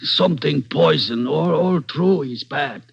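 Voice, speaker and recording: An actor playing a grieving, bitter older father who speaks in halting broken English, on an old radio drama recording. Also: a little high, gravelly, breathy edge, exaggerated voice. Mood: grim. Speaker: witness. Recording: dull old radio broadcast.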